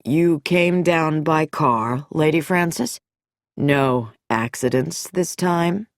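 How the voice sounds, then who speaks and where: A suave middle-aged doctor with a deep, slow, measured voice and a faint mocking edge, as a woman reader puts him on. It is a dry, close storybook reading. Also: no echo. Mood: suave, amused. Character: smooth, coolly amused middle-aged male doctor.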